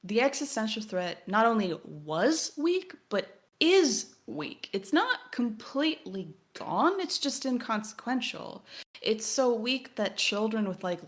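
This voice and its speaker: A woman co-hosting a podcast, talking through a video game in an analytical, wordy way as she thinks aloud. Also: medium pitch. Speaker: podcast co-host. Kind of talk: discussion of a video game, partly reading text aloud.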